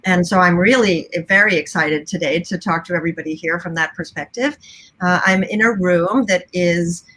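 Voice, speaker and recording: A woman from the Bahamas moderating a video-call panel, relaxed, with many fillers. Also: fairly low pitch, normal speed. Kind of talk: casual panel introductions. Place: small bedroom.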